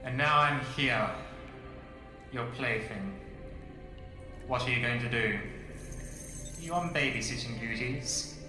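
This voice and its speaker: A man's voice: mid-range voice, slow, pauses often, close to an everyday voice. A man playing a defiant, captive professor in an audio drama. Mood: bitter, defiant. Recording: cavernous echo with music behind.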